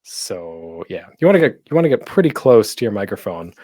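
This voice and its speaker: Casual man with lively, swinging intonation. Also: lowish voice, a little fast, no echo. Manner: rambling, self-deprecating, jokey geeky asides. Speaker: convention panel host teaching podcasting. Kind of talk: casual, conversational tutorial presentation. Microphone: close microphone with a pop screen.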